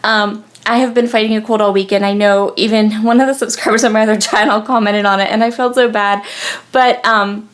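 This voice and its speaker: A woman's voice: mid-range voice, level tone, brisk. A young woman with a cold, chatting casually through a crafting voice-over, full of ums and restarts. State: sniffly with a cold. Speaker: scrapbooking video creator. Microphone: tablet on a gooseneck.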